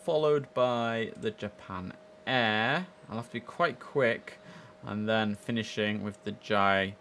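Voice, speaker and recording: Low-voiced young man, chatty. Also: takes it slowly, fairly bright tone, a little hiss or hum. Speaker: live stream host. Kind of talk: casual gaming stream commentary.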